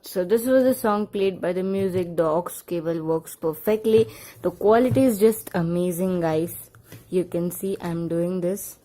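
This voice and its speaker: A woman's voice: lowish voice, measured pace, dark tone. A woman casually presenting a product unboxing, speaking in short, simple, repetitive phrases as she demonstrates. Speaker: product review vlogger.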